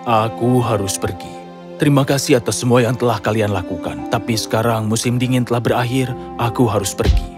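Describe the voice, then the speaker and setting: A man plays a kindly storybook bear with a soft voice at a middle pitch. He speaks politely and pleadingly, with music playing behind him. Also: fairly even intonation, fairly quick, lightly acted. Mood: gentle, regretful. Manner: pleading, courteous. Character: gentle, polite talking bear, soft-spoken. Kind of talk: children's fairy tale character acting.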